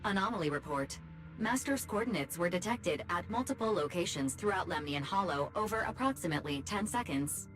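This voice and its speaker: A female artificial intelligence of a video game, lowish in pitch. She delivers reports in a formal, clinical manner. Light music plays behind. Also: expressive, fairly quick, a bit bright. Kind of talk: English video game voice acting.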